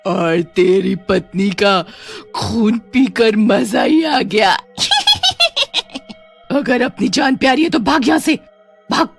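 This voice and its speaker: A woman's voice: fairly high pitch, takes it slowly, gravelly, over music. A woman voicing a gloating, bloodthirsty witch with a menacing delivery in a horror folktale. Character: gloating bloodthirsty female witch who craves onions. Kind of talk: dramatized Hindi horror folktale.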